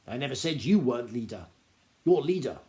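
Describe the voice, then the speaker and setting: A sulky old man who whines about being leader, voiced by a male narrator. His voice keeps close to a plain, low-key speaking tone with lively turns. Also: fairly quick, natural voice. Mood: whining. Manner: whining, sulky. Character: whiny old man, self-important leader.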